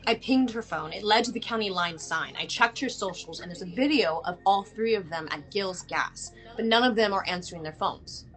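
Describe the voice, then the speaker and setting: A woman's voice: mid-range voice, normal speed, few pauses, soft background music, close to an everyday voice. A woman playing a frustrated, worried mother who bluntly demands help from the police, in a film table read. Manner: blunt, exasperated, sharp-tongued.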